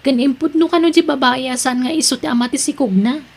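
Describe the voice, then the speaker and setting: A woman's voice: sits high, quick pace, creaky, a little mellow, slightly rough, heavily acted. A woman playing a caring, devout, chatty friend in an Ilocano drama, with an Ilocano accent. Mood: caring, inquisitive. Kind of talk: Ilocano radio-style drama acting.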